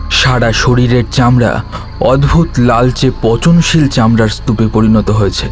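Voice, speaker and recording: A man narrating a scripted horror story in a dramatic, suspenseful way. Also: medium pitch.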